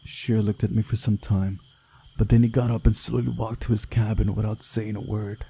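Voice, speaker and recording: A man narrates a visual novel in a very dark, mellow voice with a gravelly creak. He reads in a subdued, unhurried storytelling manner, with a husky grain to the sound. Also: lowish voice, little pitch movement. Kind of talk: narrated read-aloud of a visual novel, voicing characters.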